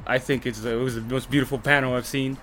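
A man's voice: medium pitch, little pitch movement, quick pace, a loud crowd. A man chatting casually about comics, easygoing and agreeable. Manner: easygoing, agreeable, frequent backchannel yeahs. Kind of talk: casual comic book chat.

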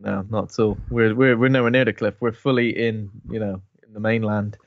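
A sniffly man co-hosts a casual podcast, rambling and joking as he goes. His voice sits at a middle pitch and comes through a dull, lo-fi microphone. The recording is dry and close. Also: steady tone, brisk, gravelly, dry recording. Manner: jokey, rambling. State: sniffly, stuffed-up. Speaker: podcast co-host. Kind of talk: casual chat.

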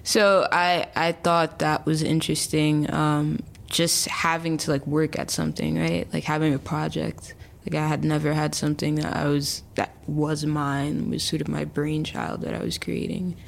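A woman's voice: sits low, flat delivery, moderate pace, gravelly, slight background noise. A woman in her early twenties, a chatty interview guest who talks casually with many fillers and restarts. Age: early 20s. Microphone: remote call.

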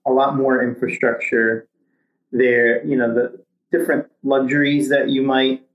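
A man talking as a podcast guest in a relaxed, easygoing way. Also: mid-range voice. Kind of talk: casual conversation. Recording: echoey room.

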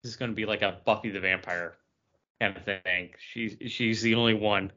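A man's voice: lowish voice, steady tone, moderate pace, bright, forceful tone, highs cut off. A man co-hosting a podcast, talking casually as he reviews comic books. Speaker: podcast co-host.

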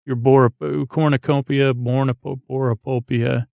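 A man hosting a bedtime sleep podcast in a creaky, soothing voice, rambling haltingly with long pauses and meandering tangents. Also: mid-range voice, level tone, a little mellow, no room sound. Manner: lulling, soothing. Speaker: podcast host.

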